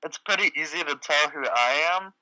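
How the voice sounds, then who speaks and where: A man's voice: on the high side, moderate pace, pushed and bright, rough recording. A man chatting casually with friends online, eager and a little stammering. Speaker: podcast co-host.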